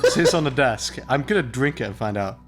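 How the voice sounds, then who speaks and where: A man's voice: medium pitch, big pitch swings, fairly quick, over music. Laughing man reading a game. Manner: swearing, laughing, reads narration with jokey asides. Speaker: let's play host. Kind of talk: casual let's play banter, reading game text aloud.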